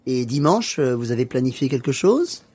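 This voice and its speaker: A man's voice: medium pitch, big pitch swings, normal speed, darkish tone, breathy edge. A man playing a friendly, welcoming French husband and father in an educational radio play. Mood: friendly, hospitable.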